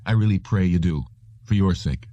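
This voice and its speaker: Man reading as a cold, accusing wife, very mellow. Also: low-pitched, moderate pace, no echo, close to an everyday voice. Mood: controlled. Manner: level, controlled, toneless.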